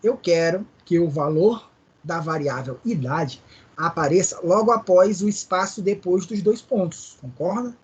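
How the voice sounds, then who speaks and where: A man's voice: high-pitched, a little slow, darkish tone, breathy edge, muffled recording. A male teacher with a Maranhão accent, explaining code step by step in a live online class and often checking in with his students. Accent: Brazilian Portuguese, Maranhão. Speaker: programming teacher.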